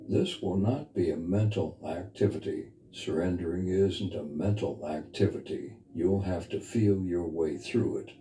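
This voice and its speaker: A man leading a guided meditation as a spiritual teacher, speaking in a soft, intimate, earnest and unhurried way. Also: low voice, slight background noise. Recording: reverberant voice over background music. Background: music.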